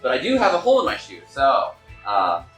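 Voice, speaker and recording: A man at a table reading, chatting casually and haltingly as he steps out of the script. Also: medium pitch, wildly swooping pitch, fairly bright tone. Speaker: table reader. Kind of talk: casual, halting aside between read scenes. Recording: echoey room with music behind.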